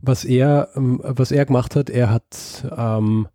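A man's voice: medium pitch, steady tone, takes it slowly, dark tone, dry recording, studio quality. A man telling a story in casual chat, hesitant and full of ums and restarts. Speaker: podcast host telling a story.

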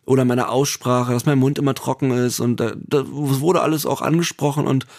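A man's voice: mid-range voice, fairly even intonation, normal speed, creaky, a little mellow. A man co-hosting a podcast, speaking candidly and hesitantly with many fillers. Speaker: podcast co-host. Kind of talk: casual podcast chat.

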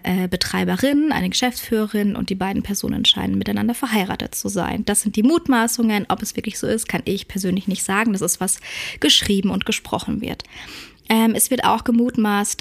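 Woman, hoarse from a cold, podcast host, earnest, halting with fillers, close microphone. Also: mid-range voice. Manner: earnest, with frequent fillers and self-corrections. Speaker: podcast host and dog trainer. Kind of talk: casual podcast monologue.

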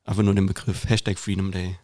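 A young man with a fairly low voice co-hosts a casual podcast. He speaks in a conversational way, often stammering and doubling back on words as he goes. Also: level tone, quick pace, a little mellow. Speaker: podcast co-host.

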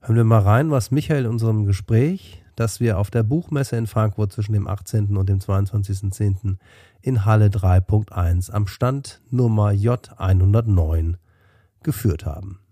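A man's voice: lowish voice, normal speed, mellow, no echo, studio quality. A man hosting a podcast, narrating a solo introduction while he has a cold. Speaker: podcast host.

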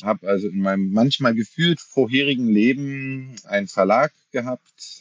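A man in his forties, a dentist, speaking as a podcast guest in a measured way, with fillers and self-corrections. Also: lowish voice, no echo, dull audio. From Germany. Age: 40s. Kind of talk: podcast interview.

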